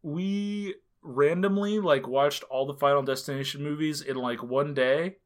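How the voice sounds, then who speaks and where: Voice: medium pitch, a little slow. A podcast co-host chatting casually about a film, rambling with lots of "like" and "um". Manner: rambling, heavy on filler words. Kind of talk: casual movie review chat.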